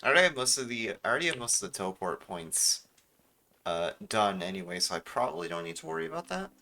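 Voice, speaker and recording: Bright-voiced wisecracking male streamer. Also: mid-range voice, sing-song, fast talker, gravelly. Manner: wisecracking, mock-indignant. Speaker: streamer playing a video game. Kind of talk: casual joking game commentary.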